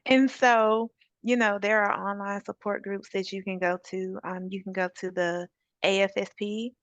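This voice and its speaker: Gravelly-voiced woman giving practical encouragement. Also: mid-range voice, level tone, normal speed, no room sound, highs cut off. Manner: encouraging, practical advice with scripture references. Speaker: suicide prevention advocate. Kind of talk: conversational interview guest.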